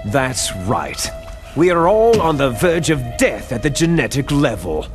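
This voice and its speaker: An actor playing a bitter, fanatical villainous clone soldier in a video game, arrogant, menacing and condescending, with drawn-out theatrical phrasing. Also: male voice, mid-range voice, very animated. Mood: contemptuous lecturing. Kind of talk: video game cutscene acting. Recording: music behind the voice.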